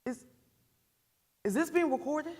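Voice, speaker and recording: A woman playing an embittered, wounded mother in a dramatic stage monologue, halting and defensive, throwing out pointed questions. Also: high voice, expressive, warm tone, pitched above the performer's own voice. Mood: agitated, bitter. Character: embittered, wounded mother deciding her attacker's punishment.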